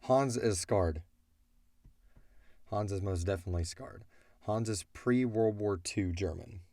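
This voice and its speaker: A man's voice: on the low side, unhurried, darkish tone, no room sound. A man answering listener questions as an audio drama creator, talking casually and bluntly as he thinks aloud.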